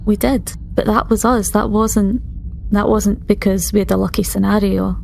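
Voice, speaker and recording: Female roleplayer, medium register with noticeable vocal fry, relaxed conversational game-table delivery, slight noise. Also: mid-range voice, moderate pace. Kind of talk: casual in-character tabletop roleplay chat.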